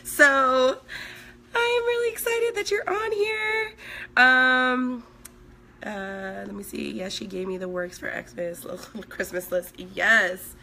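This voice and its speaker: A woman in her thirties from Sweden, a makeup artist chatting on a live stream from home with a hoarse, recovering voice while she works and reads out viewer comments. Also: high-pitched, takes it slowly, sharp tone, a little hiss or hum. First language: Swedish. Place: indoors at home, small room. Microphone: phone at arm's length.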